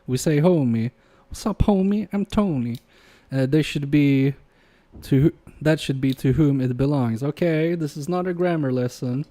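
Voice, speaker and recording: Polish-native guy, warm low-key voice, rambling to chat and muttering over a repair bench. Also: moderate pace. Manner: chatty, reads chat aloud, trails off muttering while working. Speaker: livestream repair host. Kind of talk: casual live-stream chat while repairing.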